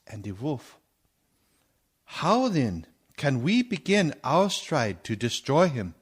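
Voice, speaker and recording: Man acting slow, solemn old vampire-hunting professor. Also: a little high, slightly rough, full character voice. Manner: solemn, measured, oratorical, stilted foreign phrasing. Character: grave, commanding older male professor and vampire hunter. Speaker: actor in a radio play. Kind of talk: theatrical radio drama acting.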